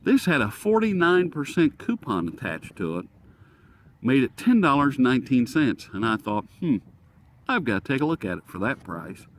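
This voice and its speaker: A man's voice: medium pitch, sing-song, normal speed. A man giving a casual, rambling gadget review outdoors, folksy and self-deprecating, easily sidetracked. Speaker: product reviewer presenting to camera. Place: outdoors on a breezy day. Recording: breezy outdoor recording with wind noise. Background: light wind noise.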